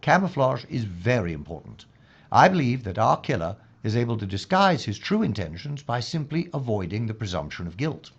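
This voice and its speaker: Actor as earnest, husky wartime investigator, lively pitch. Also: male voice, muffled recording. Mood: intent, persuasive. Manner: formal, deliberate, grave. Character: earnest, methodical male British investigator in wartime London. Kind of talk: period mystery audio drama acting.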